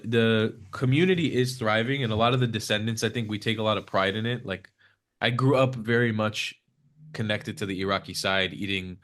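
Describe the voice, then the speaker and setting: Young man, Hebrew first language, lowish voice, loose rambling guest chatter. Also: a bit bright. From United States. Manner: rambling, many fillers and restarts. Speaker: podcast guest. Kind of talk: casual conversational interview. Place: Israel.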